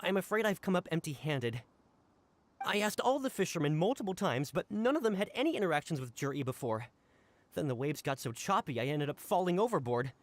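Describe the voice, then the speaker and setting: Anxious, animated underling. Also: male voice, on the high side, brisk. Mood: apologetic. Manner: earnest, flustered, emphatic. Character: anxious, deferential male subordinate investigator. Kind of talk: video game voice acting.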